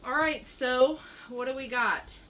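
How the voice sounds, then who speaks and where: A woman with a bright voice co-hosts a casual pop-culture chat. She takes her time over the news items, and faint background noise is audible on the recording. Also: sits high, measured pace, rough recording. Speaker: podcast co-host.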